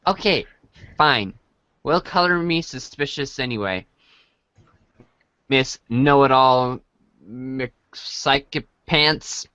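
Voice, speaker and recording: A performer voicing an excitable, suspicious teenage boy with theatrical, teasing sarcasm in an amateur webcomic reading. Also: medium pitch, takes it slowly, pauses often, clear, resonant tone, dry recording. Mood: sarcastic, suspicious.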